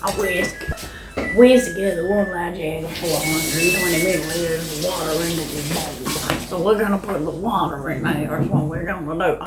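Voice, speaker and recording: A woman's voice: on the low side, fairly even intonation, normal speed, loud music behind the voice, natural voice. A young woman playing a male outlaw cowboy in a jokey home cooking video, teasing and bossy about the recipe steps in her own everyday voice. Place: home kitchen. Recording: roomy kitchen. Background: kitchen clatter.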